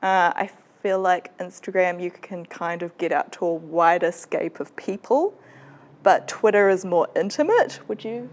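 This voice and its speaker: Female webcomic creator, slightly low voice, casual conversational guest. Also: measured pace. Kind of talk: casual chat.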